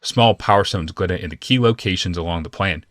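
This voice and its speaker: A man's voice: sits low, a little fast, fairly bright tone, no echo, clean studio sound. A man narrating fantasy fiction as a scripted, dramatic audiobook.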